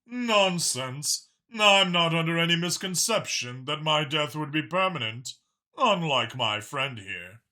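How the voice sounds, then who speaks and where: Man playing a grandiose, bright-voiced dragon lord. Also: on the high side, expressive, measured pace, full character voice. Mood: breezy. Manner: pompous, genteel. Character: grandiose, confident male dragon leader in gold armor.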